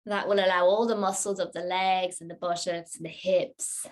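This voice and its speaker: Gentle woman guiding relaxation. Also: fairly low pitch, flat delivery. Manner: gentle, unhurried, soothing. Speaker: yoga nidra teacher guiding a class. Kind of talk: guided relaxation instruction.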